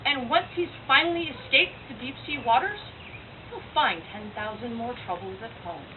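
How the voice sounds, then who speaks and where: Frenzied young tragic priestess, played by a woman, declamatory and defiant, high-pitched; distant, noisy stage recording. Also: animated, normal speed, natural voice. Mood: foreboding. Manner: declamatory, theatrical. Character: frenzied young prophetess of Apollo, scornful, doomed and defiant. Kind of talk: stage monologue from Greek tragedy. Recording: tinny, noisy far-off event recording.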